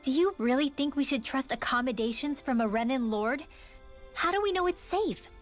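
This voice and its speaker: A woman's voice: high-pitched, lively intonation, normal speed. An actress playing a wary, spirited young female adventurer in a video game, casual and questioning. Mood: distrustful. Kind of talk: video game cutscene acting. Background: music.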